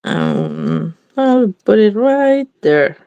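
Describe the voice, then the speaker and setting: A woman's voice: medium pitch, huge pitch swings, very slow, dark tone, smooth, no room sound, lo-fi sound. A slightly congested woman chatting casually as she demonstrates card making, thinking aloud while she works. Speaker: craft demonstrator. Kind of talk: live crafting demo chat.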